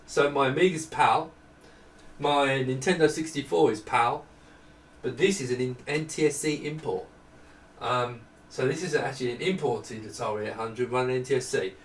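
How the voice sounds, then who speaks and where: A man's voice: medium pitch, moderate pace, fairly bright tone, slight background noise. A middle-aged man giving a casual, rambling, self-deprecating hardware demonstration, chatting off the cuff. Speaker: presenter demonstrating retro computer hardware. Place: small indoor room at a desk. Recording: roomy, distant camera microphone.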